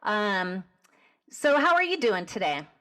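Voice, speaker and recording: A woman's voice: medium pitch, big pitch swings, slow, sharp tone. A woman hosting a podcast, upbeat and curious, asking her guest questions with frequent fillers. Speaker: podcast host. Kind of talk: podcast interview.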